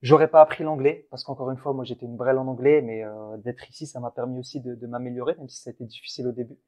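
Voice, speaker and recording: A man's voice: mid-range voice, steady tone, fast, dark tone. A man co-hosting a casual motivational podcast, talking in an earnest, encouraging way with frequent restarts. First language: French. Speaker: podcast co-host.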